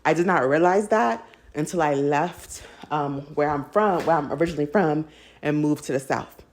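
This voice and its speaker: A woman's voice: deep voice, moderate pace. A woman from the United States hosting a TV recap, chatting casually and candidly to viewers with strong opinions. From United States, raised in a Jamaican household. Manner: candid, opinionated, laughs easily while talking. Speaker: TV recap show host.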